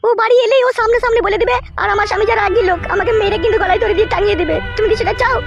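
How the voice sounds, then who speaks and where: A woman voicing a pushy, scolding village wife in a comic cartoon, with a shrill, nagging, foul-mouthed manner. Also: racing, gravelly, dull audio, music in the background. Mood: pleading, insistent.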